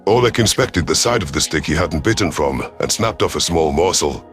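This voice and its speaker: Male narrator, low-pitched, for dramatic first-person sci-fi storytelling over faint music. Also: animated, brisk, fairly bright tone. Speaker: audiobook narrator.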